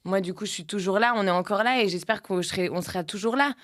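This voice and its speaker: A woman's voice: medium pitch, quick pace, no echo. Woman, late 30s, actress-producer type, loose rambling interview talk, dry sound. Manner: rambling, many restarts and fillers.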